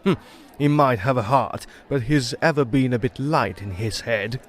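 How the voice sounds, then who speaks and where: An actor playing a superstitious country villager with folksy rural speech and dry wit, in an old-time radio drama. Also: male voice, mid-range voice, moderate pace, a faint crowd, natural voice. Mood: wary.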